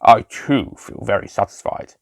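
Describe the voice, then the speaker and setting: A man's voice: moderate pace, no room sound, clean studio sound, close to an everyday voice. A man voicing a heroic, quippy male adventurer in a science fiction audio story. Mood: wry.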